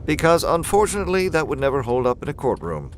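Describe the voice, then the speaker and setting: An actor playing a composed, confident gentleman detective in an old-time radio drama. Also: a little high, big pitch swings, quick pace, slight background noise. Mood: explaining. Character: composed, confident gentleman sleuth, man of reason.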